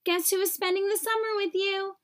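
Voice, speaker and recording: Woman as a breezy, high-pitched carefree cousin. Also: fairly even intonation, a little fast. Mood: cheerful. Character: carefree, cheeky male cousin in a storybook.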